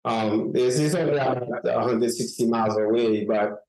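A middle-aged man from Haiti, a journalist answering interview questions, talking haltingly with many fillers and restarts over a remote connection. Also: mid-range voice, darkish tone. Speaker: guest journalist and author. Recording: echoey remote call audio.

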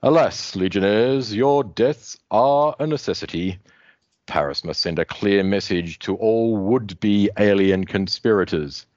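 A man's voice: on the low side, measured pace, a little mellow, dry recording, natural voice. A man voicing a villainous male warlord who gloats in long menacing speeches. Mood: gloating. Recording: dull lo-fi dry recording.

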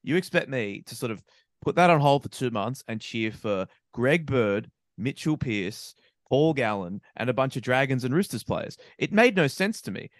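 A man with an Australian accent chatting casually as a podcast co-host, opinionated and animated, stammering when he gets excited. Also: medium pitch, normal speed, no echo, clean studio sound. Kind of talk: casual sports chat.

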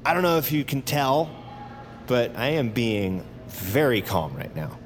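An actor playing a tense detained assassin, halting and pleading with strained politeness, in an audio drama. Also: male voice, mid-range voice, very animated, slight background noise. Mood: anxious pleading. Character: tense, desperate detained male assassin insisting he is sane.

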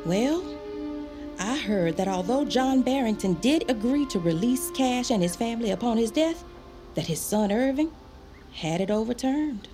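A woman's voice: a little high, animated, moderate pace, lightly acted. Actress as a practical 1860s servant woman. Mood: sober, reluctant. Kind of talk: audio drama dialogue. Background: music.

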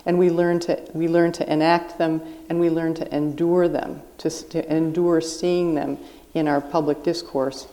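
Reflective woman author, low and mellow. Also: flat delivery, normal speed. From South Dakota, USA. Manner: earnest, reflective, thinking aloud. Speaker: guest author. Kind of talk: interview on stage. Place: stage at a live book festival event.

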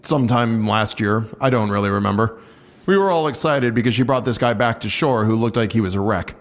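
A man's voice: on the low side, natural voice. An actor playing a guarded male patrol officer in a naturalistic audio drama, blunt, offhand and reluctant to talk. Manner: offhand, reluctant, plain-spoken.

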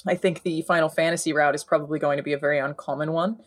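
A young adult Australian woman with a fairly deep voice, talking in a casual podcast chat and laughing often. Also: racing. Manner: laughs often, chatty and animated. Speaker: podcast co-host.

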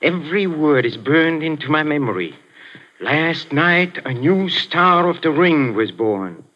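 Sinister old trainer: soft and ingratiating, slow with giggles, 1940s radio, hissy and dull. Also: male voice, fairly high pitch, lively intonation. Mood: fawning. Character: sinister, fawning old male boxing trainer.

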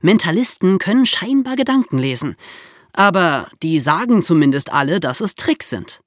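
A woman with a very low voice co-hosts a podcast. Her pitch moves in a lively way as she discusses things casually, recorded dry. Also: moderate pace, dry recording. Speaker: podcast co-host.

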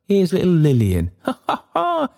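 A man playing an older father bursting with joy, his voice lifted high and exclaiming. He dotes on his family. It is a storybook reading by a low-voiced man. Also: normal speed. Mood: jubilant. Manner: excited, exclaiming. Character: elderly man, overjoyed and doting father.

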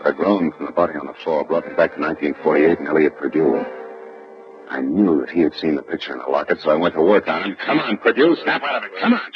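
An American actor playing a tough, wisecracking private detective in a 1940s radio drama, sardonic and hard-boiled. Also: male voice, extremely low pitch, fast talker. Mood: tough, goading. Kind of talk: 1940s radio detective acting and first-person narration. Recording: dull vintage radio recording, music behind.

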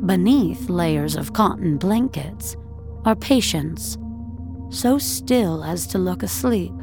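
A woman narrating a dramatic audio story in a measured, deliberate, literary way. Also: on the low side, lively intonation, vocal fry. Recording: studio narration over faint music.

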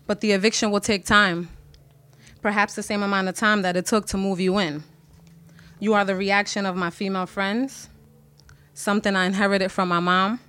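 Woman, spoken word poem, slow measured recitation, mid-pitched voice. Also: little pitch movement, a bit bright. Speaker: poet reader.

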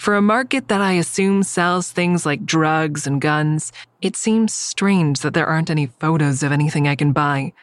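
An actress playing an observant, wry, secretive woman who narrates her own story in an audio drama, measured and descriptive. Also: sits low, dry recording, natural voice. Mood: wry, uneasy. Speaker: narrator and lead character.

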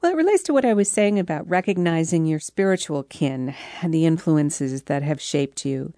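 Low-voiced woman, thoughtful interview guest. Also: expressive, normal speed, mellow, no echo, clean studio sound. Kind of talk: conversational interview, explanatory storytelling.

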